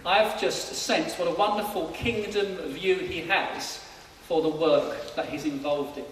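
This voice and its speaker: A man's voice: a little high, measured pace, a bit bright. A man interviewing someone informally in front of people in a church, speaking in a slightly hesitant way. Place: large church hall, indoors. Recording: echoey church hall, distant mic, faint noise.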